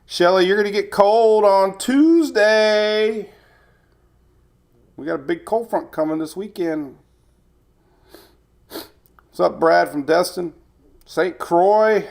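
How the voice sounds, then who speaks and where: Man, somewhat high-pitched, slightly congested, chatty, rambling, jokey, casual weather stream host. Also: lively intonation, slow, gravelly, pauses often. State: slightly stuffed up with a cold. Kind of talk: casual live chat, greeting viewers.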